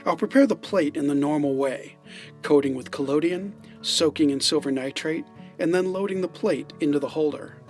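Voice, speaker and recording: A man's voice: fairly high pitch, moderate pace, darkish tone, faint music behind. A man giving an explainer narration as a filmmaker and presenter.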